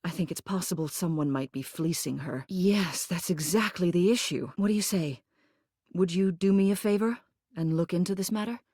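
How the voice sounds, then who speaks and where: A woman acts out an older lady mayor from a video game, poised and commanding. Her voice sits a little low and is recorded very dry. She speaks with genteel, theatrical polish, like someone used to running things. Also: moderate pace, darkish tone, no room sound, natural voice. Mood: confiding, concerned. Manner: genteel, theatrical, uses fond endearments. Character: gracious, commanding older lady mayor, a living household object. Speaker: mayor and homeowners' association president. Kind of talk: scripted video game character dialogue.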